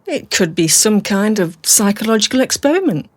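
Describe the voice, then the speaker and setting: An actress playing a composed, rational captive mother in a radio drama, speaking in a measured, precise, matter-of-fact way. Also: mid-range voice, big pitch swings, darkish tone, no echo.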